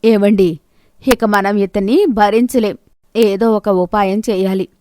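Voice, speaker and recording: A woman's voice: medium pitch, steady tone, takes it slowly, dark tone, a little hiss or hum, lightly acted. A woman storyteller playing an outspoken, hardworking village wife in a moral tale, with emphatic, dramatic delivery. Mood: indignant. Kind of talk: Telugu moral story voice acting.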